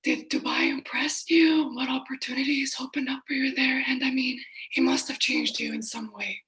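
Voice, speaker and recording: A woman interviewer asking questions in a whispery, breathy voice. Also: high-pitched, steady tone, normal speed, fairly bright tone, poor audio.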